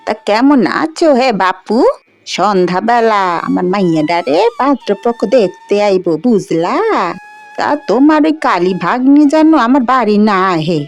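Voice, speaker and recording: A woman's voice: medium pitch, lightly acted. Woman as a spiteful old aunt, East Bengali dialect. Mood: bossy, scornful. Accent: rural East Bengali dialect. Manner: gossipy, scornful. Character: meddling, spiteful old village great-aunt. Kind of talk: animated fairy-tale voice acting.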